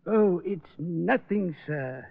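An actor playing a prim, deferential butler in an old radio drama, with a formal, fussy manner. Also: male voice, high voice, animated, takes it slowly, creaky, husky, exaggerated voice. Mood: primly disapproving. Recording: dull vintage radio recording.

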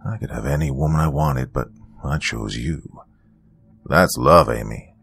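A man voicing a charming but menacing serial killer in a thriller story, deep-voiced, smooth, taunting and boastful. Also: measured pace, fairly bright tone, full character voice. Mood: cold accusing.

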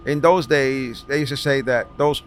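Halting middle-aged New man, noisy background. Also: mid-range voice. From New York City, Washington Heights. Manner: halting, repeats words. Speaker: podcast guest, veteran street racer. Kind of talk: casual reminiscing in an interview. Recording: clear background noise of racing event. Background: vehicle and event noise.

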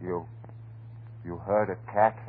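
An actor playing an obsessed, secretive and nervous husband in a 1930s radio horror melodrama, speaking in halting, repetitive phrases. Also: male voice, low-pitched, steady tone. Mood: defiant, tense. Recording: scratchy, hissy, narrow-band 1930s radio recording.

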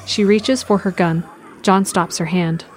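A woman's voice: medium pitch, steady tone, a little slow, slight background noise. A woman reading scripted present-tense narration for an audio drama.

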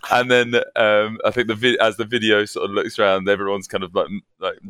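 A British man with a fairly low voice and a bright, forward tone tells tour anecdotes casually. He acts out conversations as he goes, in an animated, chatty way. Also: brisk, clear, resonant tone, no echo. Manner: animated, acts out quoted dialogue. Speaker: podcast guest, metalcore band vocalist.

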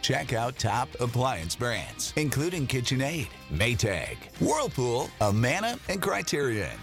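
A man's voice: mid-range voice, big pitch swings, a little slow, a bit bright. A man reading a modern scripted retail commercial as a voice-over announcer. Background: guitar music bed.

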